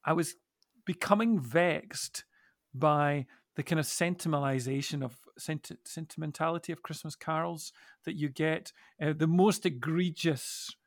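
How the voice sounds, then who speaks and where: A man co-hosting a reflective, conversational Bible discussion, musing in a halting but emphatic way. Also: a little high. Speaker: podcast co-host.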